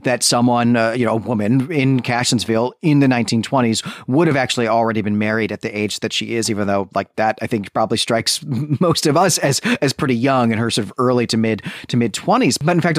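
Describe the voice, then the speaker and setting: A man with a medium-pitched voice talks through ideas on a literary podcast. He is analytical and academic, wandering through long sentences as he reasons. The sound is dry, with very little room. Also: dry recording. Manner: discursive, thinking aloud in long winding sentences. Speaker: podcast co-host. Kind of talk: conversational literary discussion podcast.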